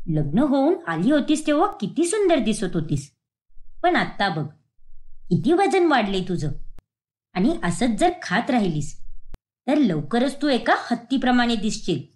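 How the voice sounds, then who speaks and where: A woman voicing a sharp-tongued, nagging older mother-in-law in an animated moral story. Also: fairly high pitch, unhurried, pitched below the performer's own voice. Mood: mocking, scolding.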